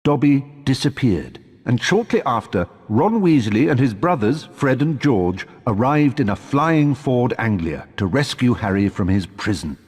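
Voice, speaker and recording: A British man playing a measured storyteller who narrates a wizard tale for a video game. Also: medium pitch, very animated, a little mellow, breathy edge, in a large hall. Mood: storytelling.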